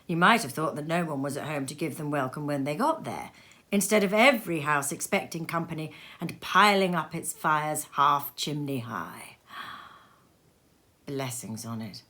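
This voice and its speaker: Woman narrating an old storybook, kinda low voice, really dramatic and expressive delivery. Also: moderate pace. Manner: expressive, theatrical, varying from hushed to emphatic. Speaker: storybook reader. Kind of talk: dramatic literary narration, scripted. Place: sitting room at home.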